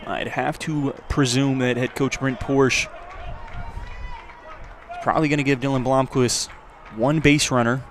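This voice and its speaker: A man giving live play-by-play baseball commentary for radio, his voice lifting on the action and settling between pitches. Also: fairly even intonation, unhurried, long pauses. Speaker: play-by-play announcer. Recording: clear outdoor ambient noise. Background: outdoor ballpark ambience.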